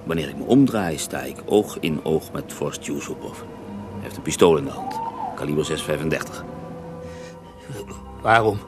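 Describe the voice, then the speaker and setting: A very low, theatrical voice of a dead Russian holy man. He tells his story unhurriedly and with dry, sensual wit, swinging widely in tone as radio drama, over music. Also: expressive, moderate pace, gravelly, pauses often, exaggerated voice. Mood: tense recounting. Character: ghost of murdered Russian mystic monk, wry, sensual, unrepentant. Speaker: interviewed storyteller in a radio play. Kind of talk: radio drama acting, intimate first-person recounting.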